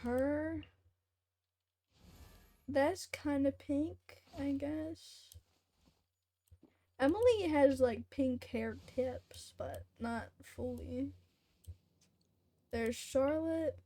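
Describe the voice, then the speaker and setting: High-voiced, slow-paced young woman streamer. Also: dark tone. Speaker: game streamer. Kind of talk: casual livestream chat while gaming. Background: faint game music.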